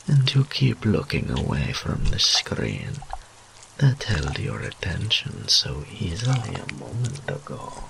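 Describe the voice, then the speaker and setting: A man playing a seductive, teasing, dominant demon incubus in an intimate audio roleplay, breathy and often whispering, drawing out his taunts. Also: low voice, a bit bright, a little hiss or hum, exaggerated voice, low-quality recording. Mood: teasing, menacing. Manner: slow, drawn-out, mock-sympathetic purring taunts.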